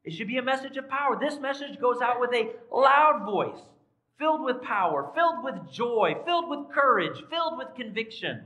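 A man in his forties preaches across a church stage, his bright, forceful voice dropping to quiet asides and then rising to loud, emphatic lines. He is tired from a recent cold but stays animated. He is picked up on a body mic in the large sanctuary. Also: extremely high pitch, moderate pace, dull audio. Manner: animated, pacing the stage with sweeping gestures; swings from soft asides to loud emphatic declarations. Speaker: pastor. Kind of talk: sermon.

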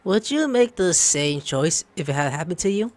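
A man's voice: high voice, big pitch swings, moderate pace, no echo. A man streaming a video game, commenting casually and reading dialogue aloud. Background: game music.

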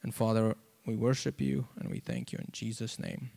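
A man gives an informal sermon and testimony into a handheld mic, halting and conversational, with lots of "like" and "you know.". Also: mid-range voice, little pitch movement, creaky, a little mellow. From South Africa. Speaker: preacher. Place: church auditorium, on stage before seated congregation. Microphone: handheld microphone.

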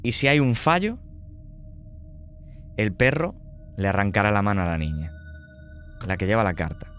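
A man running a tabletop horror game as game master, laying out options in a measured way and checking in often. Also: on the low side, animated, fairly bright tone, soft background music.

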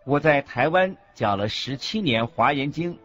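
A man playing a Buddhist monk teacher in a scripted radio lecture, husky, expressive and didactic. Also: mid-range voice, a little slow. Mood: earnest recounting. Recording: dull lo-fi recording with faint music.

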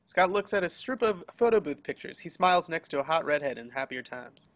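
A man's voice: a little high, expressive, a little fast, fairly bright tone. A man reading scripted stage directions as the narrator of a table read. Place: remote group video call.

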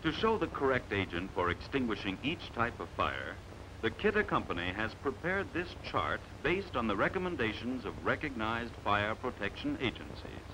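An American man narrating a scripted 1950s training film in a measured, authoritative, emphatic manner, on a vintage soundtrack. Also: fairly low pitch, big pitch swings, background noise.

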